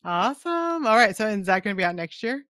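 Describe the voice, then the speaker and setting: A middle-aged woman hosting a casual interview from a home office, talking into a headset mic. She sounds encouraging and affirming, and her voice sits at a middling pitch. The sound is close and dry. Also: very animated, fast talker, a bit bright, no echo. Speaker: podcast host. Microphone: headset with desk microphone, video call.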